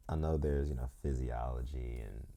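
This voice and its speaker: Male yoga teacher, croaky, very deep and mellow, reflective and unhurried. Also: fairly even intonation. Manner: slow, reflective, thinks aloud. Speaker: yoga instructor. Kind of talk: calm guided yoga instruction with musing asides.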